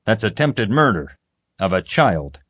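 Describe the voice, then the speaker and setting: A male narrator acts as a stern investigator in an audiobook. He is controlled and firm, with a low voice and measured, accusing delivery. The recording is dry. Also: very animated, fairly bright tone, husky, dry recording, heavily acted.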